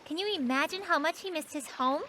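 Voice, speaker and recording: A woman voicing a patient, knowing young girl who tells stories in an explanatory way in an animated children's series. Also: sits high, fairly quick, slight background noise. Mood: storytelling. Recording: music behind the voice.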